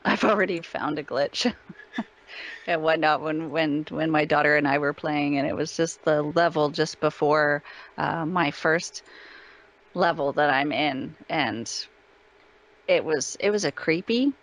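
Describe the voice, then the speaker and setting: A woman chatting casually as an interview guest, telling stories in an animated, chatty way. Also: low-pitched, measured pace. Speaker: voice actress being interviewed.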